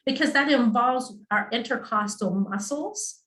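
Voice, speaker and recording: A woman's voice: a little high, measured pace, a bit bright, echoey room. A woman speech-language pathologist explaining clinical topics in a patient, teacherly way, often checking in with the listener. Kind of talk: explanatory webinar talk.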